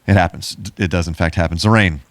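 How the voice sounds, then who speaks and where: Low-voiced man co-hosting a casual podcast. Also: fast, no echo. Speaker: podcast co-host.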